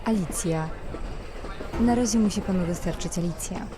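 A woman's voice: on the low side, moderate pace, noisy background, close to an everyday voice. An actress playing a poised, flirtatious and possessive society lady, speaking in an elegant, teasing, formal manner in an audio drama. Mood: coy, polite.

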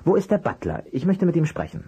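A man playing a polite, persistent private detective in an audio drama, with a husky voice and a courteous, probing way of asking. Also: a little high, huge pitch swings, fast talker, dark tone, highs cut off, full character voice. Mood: probing, thoughtful. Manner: courteous, probing, hesitant at times. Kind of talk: German audio drama acting.

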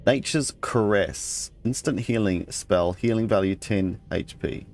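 A man's voice: fairly low pitch, a little slow, darkish tone. A man hosting a let's play, casually thinking aloud and reading game text as he goes. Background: game music.

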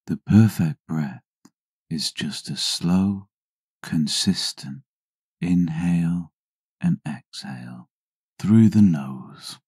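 Meditation narrator, male: dark mellow tone, breathy and hushed, deliberate pauses, close dry sound. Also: very deep voice, no room sound. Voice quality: breathy, husky, often near-whisper. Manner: deliberate, soothing delivery with long pauses. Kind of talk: scripted guided meditation, slow and hushed.

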